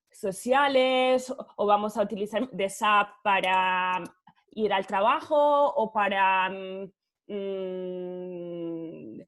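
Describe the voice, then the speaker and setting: A woman whose first language is Italian, giving an academic panel talk in Spanish over a video call, hesitant and searching for words, with many fillers. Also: medium pitch. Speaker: guest speaker, author.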